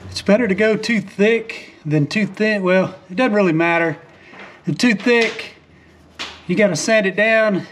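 High-voiced man narrating boat work. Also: a little slow, a little hiss or hum. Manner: relaxed, plainspoken, frequent "uh" fillers and restarts. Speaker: boat refit vlogger. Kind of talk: casual how-to narration while working. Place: outdoors on a sailboat deck.

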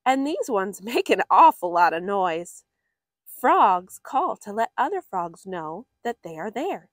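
A woman reads a children's nature book aloud at an unhurried pace. Her pitch moves in big, lively swings as she leans on important words for little listeners. The sound is dry, with very little room around the voice. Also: no echo. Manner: expressive, drawn-out emphasis for young listeners. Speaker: story reader for children.

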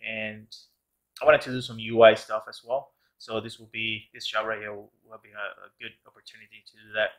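A man's voice: on the low side, little pitch movement, a little fast, fairly bright tone, poor audio. A man casually narrating his art process as host of a tutorial channel, rambling with many fillers and restarts. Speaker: background artist and channel host.

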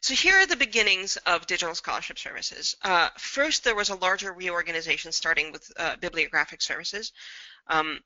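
A middle-aged woman, an academic librarian, gives a semi-scripted slide presentation in a measured, halting way, pausing to think, recorded indoors on a computer mic. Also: on the low side, lively intonation, bright, forceful tone, dry recording, highs cut off.

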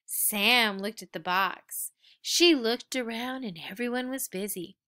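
A woman reading a children's story aloud with expressive, dramatic emphasis for young listeners. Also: on the high side, a little slow.

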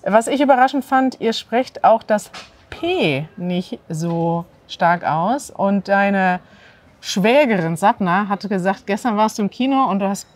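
A woman in her thirties from northern Germany, speaking standard German as host of a language lesson, clear and animated as she prompts her guest to repeat words, recorded outdoors. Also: mid-range voice, unhurried. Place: outdoors in a courtyard by an ivy-covered wall.

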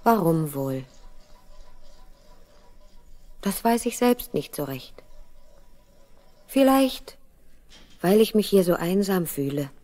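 A woman's voice: on the low side, animated, slow, long pauses, warm tone. An actress playing a lonely young trainee, narrating her letter home in a radio play, reflective and intimate. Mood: wistful.

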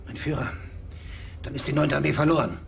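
Barking older commander. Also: male voice, a little high, steady tone, a little fast, vocal fry, very noisy. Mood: commanding. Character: furious older male wartime commander. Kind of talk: film drama.

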